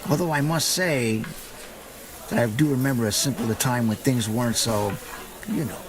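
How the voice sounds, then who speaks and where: An actor plays a middle-aged police detective in an audio drama. His mid-pitched voice is casual and folksy, with an insinuating edge. Clear ambient noise surrounds him. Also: moderate pace, natural voice. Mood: casual, probing. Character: probing middle-aged small-town male police detective.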